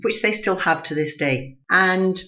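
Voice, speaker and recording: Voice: medium pitch, sharp tone, highs cut off. A radio presenter from Scotland with a mixed Scottish and Durham accent and some Australian touches, giving a thoughtful monologue. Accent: mixed Scottish and Durham English with Australian touches. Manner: measured, thoughtful delivery with some restarts. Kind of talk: reflective monologue on a talk radio show.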